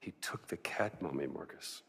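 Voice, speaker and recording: An actor playing a rugged, stubborn American adventurer and professor, speaking in a terse, dry, clipped way in a cinematic action game. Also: male voice, very deep voice, normal speed, vocal fry, fairly bright tone, in a whisper, heavily acted. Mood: grim, low.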